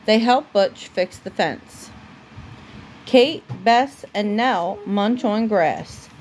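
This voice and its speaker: Woman, mid-pitched, unhurried bedtime-story reader, deliberate phrasing, slightly noisy home recording. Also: a little mellow. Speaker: mother reading a bedtime story to her child. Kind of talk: reading a children's storybook aloud.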